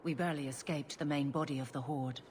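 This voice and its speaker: A woman's voice: deep voice, steady tone, fast talker, a little mellow, slight background noise, natural voice. An actress playing a stern, loyal, protective woman warrior in a fantasy game. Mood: firm, measured.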